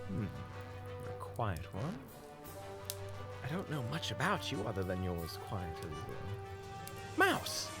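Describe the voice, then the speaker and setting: A man's voice: mid-range voice, full character voice. A man acting a charming, unflappable young hunter in a fantasy audio drama, courteous, playful and musing.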